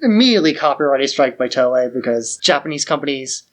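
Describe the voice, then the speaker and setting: A man's voice: medium pitch, animated, fairly quick. A man co-hosting a podcast in casual, conversational discussion. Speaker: podcast co-host.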